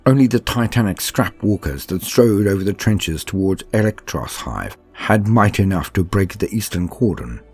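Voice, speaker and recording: A man reading a scripted story as narrator in a calm, steady, measured way, with a husky voice. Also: deep voice, faint music behind. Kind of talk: calm scripted audiobook-style narration of war lore.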